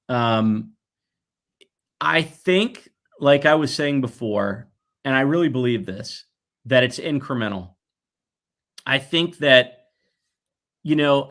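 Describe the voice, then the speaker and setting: A man in his forties giving conversational interview answers in an earnest, reflective way, pausing to stress his points. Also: mid-range voice, fairly bright tone. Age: 40s. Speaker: guest, toy company executive.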